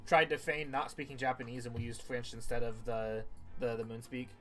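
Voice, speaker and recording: A man's voice: medium pitch, level tone, fairly quick, soft background music. A man talking casually with friends as they play and dub a video game on stream. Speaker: player in a fan-dub stream. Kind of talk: casual group game chat.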